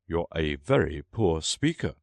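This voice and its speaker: An irritable, bossy storybook king as a man plays him, giving orders in a sharp way. His intonation is very animated and the sound is dry and close. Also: very deep voice, unhurried, no echo, heavily acted. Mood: commanding. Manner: sharp, commanding. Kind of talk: storybook character voice.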